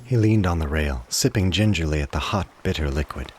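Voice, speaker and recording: A man with a low, deep voice narrates a dramatic story for a radio play. His delivery is measured and literary, reading from a script. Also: darkish tone, a little hiss or hum.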